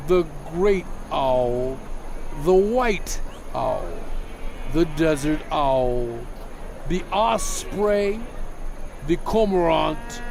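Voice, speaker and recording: A man playing a solemn lawgiver in a dramatized scripture reading, speaking deliberately in short, measured phrases. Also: on the high side, darkish tone, slight background noise. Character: solemn male lawgiver instructing his people. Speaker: narrator of a dramatized audio Bible.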